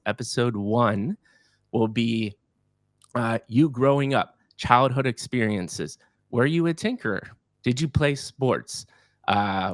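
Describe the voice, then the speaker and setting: Upbeat, low-voiced male podcast host. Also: dry recording. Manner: energetic, effusive, repeats words when excited. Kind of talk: enthusiastic podcast interviewing.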